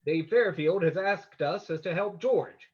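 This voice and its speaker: A man playing a suave, confident sleuth who takes charge, in old-time radio drama style, with a husky voice on a dull recording. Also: sits high, a little slow. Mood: briefing. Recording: dull, muffled sound.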